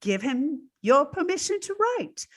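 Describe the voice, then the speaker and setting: A woman's voice: high voice, sing-song, measured pace. A woman playing an encouraging servant and matchmaking confidante in an old-time radio drama. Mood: coaxing.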